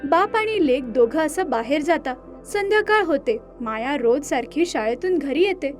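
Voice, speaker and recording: A woman delivers scripted storytelling narration for an animated moral tale. Her voice is high, and faint music plays underneath. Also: moderate pace.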